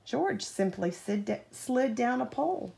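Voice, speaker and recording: A woman reading a children's story aloud as a mother at bedtime, in an animated way, pausing to put questions to a child. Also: on the high side, gravelly, a little hiss or hum.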